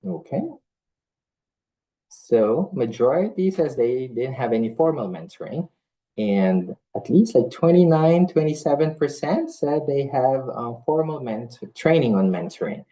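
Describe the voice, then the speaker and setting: A man's voice: mid-range voice, animated, unhurried, mellow, rough recording. A man born in the Philippines, whose first language was not English, giving a conference talk on nursing mentorship in an earnest way, with many fillers and restarts. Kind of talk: conference talk with live audience polls.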